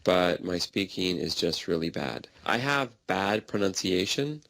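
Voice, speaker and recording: A man speaking in plain, simple sentences in a slow conversation practice recording. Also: deep voice, animated, creaky, fairly bright tone, dry recording. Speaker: English learner in a practice dialogue.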